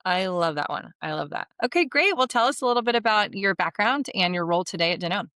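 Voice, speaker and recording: A woman's voice: mid-range voice, animated, quick pace, fairly bright tone, dry recording, clean studio sound. A woman hosting a podcast interview, upbeat and enthusiastic as she welcomes and questions her guest. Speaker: podcast host.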